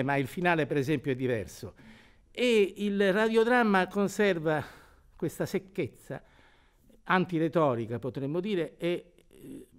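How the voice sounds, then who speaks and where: Old professor guy in his 70s, mid pitch, scholarly and emphatic, corrects himself as he goes. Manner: deliberate, erudite, emphatic phrasing with self-corrections. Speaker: university professor of performing arts. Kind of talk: scholarly commentary on theatre. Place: dark theatre stage, indoors.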